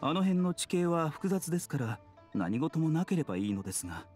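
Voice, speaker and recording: An actor playing a composed, gentle male doctor who speaks in a polite, measured, formal way in a game. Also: fairly high pitch, sing-song, soft background music. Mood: calm, courteous. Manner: polite, measured, soft-spoken formal speech. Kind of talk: scripted game dialogue.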